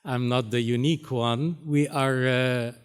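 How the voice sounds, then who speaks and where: A man from Syria, raised in Lebanon, with Arabic as his first language, giving a keynote speech as a Jesuit priest, pausing often with many fillers. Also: medium pitch, steady tone, clear, resonant tone. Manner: halting, many pauses and fillers. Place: university hall stage.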